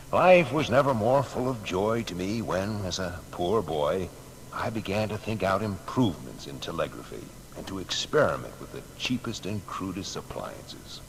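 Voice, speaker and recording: A man playing an earnest, reflective inventor, reciting memoir in a measured way for an audio biography. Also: low-pitched, rough recording. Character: earnest, reflective male inventor recalling his work. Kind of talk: dramatised reading of memoir quotations. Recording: faint background noise.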